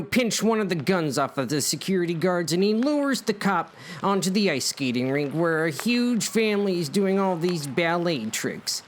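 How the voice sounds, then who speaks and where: A man narrating his own comic story in an informal, mock-dramatic way with conversational asides. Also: sits high.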